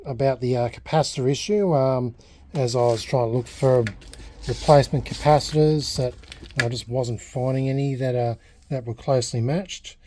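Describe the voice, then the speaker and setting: An Australian man hosting a casual hobby vlog at an indoor workbench, talking in a halting, rambling way and correcting himself as he goes. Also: medium pitch, fairly even intonation, warm tone. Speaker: hobbyist video host.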